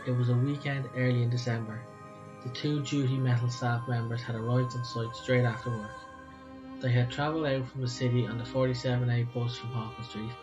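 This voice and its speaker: A man's voice: medium pitch, dull audio. A man with an Irish accent, co-hosting a podcast and reading a story aloud. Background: music.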